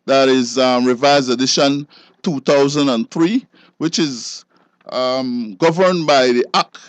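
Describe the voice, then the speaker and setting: A man's voice: medium pitch, slow, no room sound. A man with a Belizean accent speaks as an officer being interviewed. His mid-range voice explains things informally, often repeating words as he goes. The sound is dry, with little room in it. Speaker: fisheries enforcement officer, interview guest.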